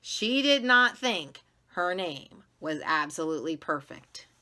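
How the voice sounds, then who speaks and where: A middle-aged American woman reading a children's story aloud with dramatic, deliberate emphasis, recorded indoors on a camera at about arm's length. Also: fairly low pitch, sing-song, sharp tone. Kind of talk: expressive read-aloud narration. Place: small classroom-like room, indoors.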